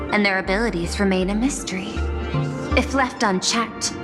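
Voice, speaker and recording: A woman playing a poised, persuasive executive in a video game, formal and measured, with grand confidence. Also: mid-range voice, close to an everyday voice. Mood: businesslike, persuasive. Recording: long reverb, music behind.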